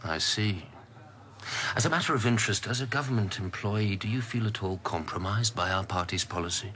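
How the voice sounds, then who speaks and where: An actor playing a shrewd English Conservative politician who questions politely and in measured phrases, in a radio drama. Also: male voice, on the low side, pushed and bright. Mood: quietly probing. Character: shrewd, courteous British Conservative politician, quietly probing.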